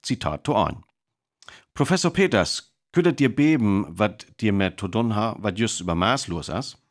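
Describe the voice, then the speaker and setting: A man with a North Frisian accent playing an earnest live radio reporter in a radio play, announcing formally and deliberately. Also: lowish voice, lively intonation, close to an everyday voice. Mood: serious, formal.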